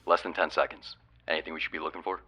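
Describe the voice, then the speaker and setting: A man playing a gruff, wisecracking police lieutenant leading a search in an audio drama. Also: rumbling low, level tone, very fast, sharp tone, exaggerated voice. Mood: businesslike. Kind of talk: radio-call audio drama acting.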